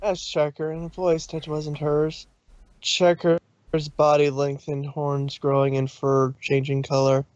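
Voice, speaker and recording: A man's voice: a little high, completely flat delivery, measured pace, darkish tone, no echo. A man narrating a fan story in a casual stream reading, with occasional slips. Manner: plain reading with slips and laughs. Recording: dull dry lo-fi stream audio.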